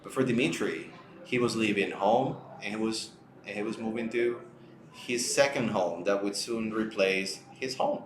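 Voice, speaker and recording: Low-pitched man in an echoey room. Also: normal speed. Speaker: show co-host. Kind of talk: casual conversational discussion. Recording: roomy echo, light background noise.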